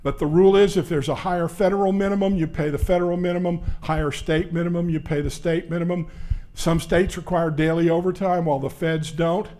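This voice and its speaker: Older guy giving a conference talk on a mic, loud voice, a bit high, lots of uhs. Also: fairly even intonation. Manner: loud, brisk, conversational lecturer with frequent "uh" fillers. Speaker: labor law attorney presenting a seminar. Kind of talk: conference presentation. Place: conference session room. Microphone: handheld or stand microphone.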